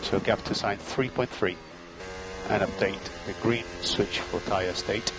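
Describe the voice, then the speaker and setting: A man's voice: on the low side, steady tone, unhurried, very noisy. A man working as a race engineer, giving terse, clipped instructions and data over motor racing team radio. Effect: team radio transmission.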